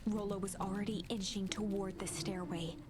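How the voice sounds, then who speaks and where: A woman's voice: mid-range voice, steady tone, moderate pace. Woman narrating dramatically over music. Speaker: let's play host, narrator. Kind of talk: dramatic reading of game narration.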